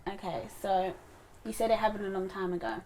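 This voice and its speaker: A woman's voice: mid-range voice, fairly even intonation, fairly quick, darkish tone, slight background noise. A woman hosting a casual interview, asking questions in a friendly, teasing way. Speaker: host.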